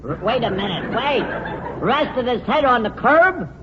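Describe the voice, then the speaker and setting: An actor playing an exasperated quiz host in an old-time radio comedy. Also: male voice, very high-pitched, sing-song, a little slow, a loud crowd, heavily acted. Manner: repeats answers in disbelief. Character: exasperated quiz host pleading with silly contestants. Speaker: quizmaster and host. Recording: noisy muffled old radio, loud studio audience.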